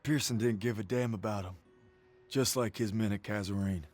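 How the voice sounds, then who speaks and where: A man voicing a loyal young private, war video game, determined, plain steady delivery, slightly low voice, faint music. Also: normal speed, lightly acted. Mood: uneasy. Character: earnest young male army private, stubborn and loyal. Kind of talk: video game cutscene acting.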